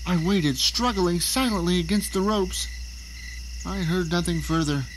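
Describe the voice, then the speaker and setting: A man's voice: sits high, measured pace, noisy background. A man playing a bound, panicked novelist, narrating his captivity in an exclamatory audio drama style. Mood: tense recounting. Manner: theatrical, exclamatory. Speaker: narrator and lead actor.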